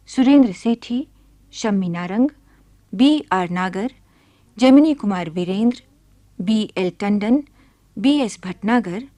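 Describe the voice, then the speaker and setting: A woman announcing on radio in a formal, scripted style, with measured and clearly enunciated reading. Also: a little high, mellow, dry recording.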